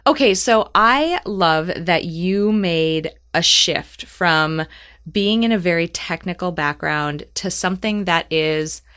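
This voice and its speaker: A woman's voice: on the low side, lively intonation, takes it slowly, a bit bright, clean tone. A woman hosting a podcast interview, enthusiastic and upbeat. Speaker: podcast host.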